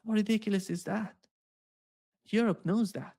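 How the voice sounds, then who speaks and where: A man giving unscripted political commentary in a halting way, repeating his words. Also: high voice, steady tone, gravelly, warm tone.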